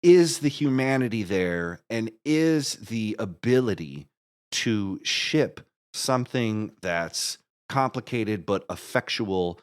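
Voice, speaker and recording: A man speaking as a podcast guest, giving conversational answers in an earnest, halting way with emphatic restatements. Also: fairly low pitch, expressive, smooth. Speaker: podcast guest, musical creator.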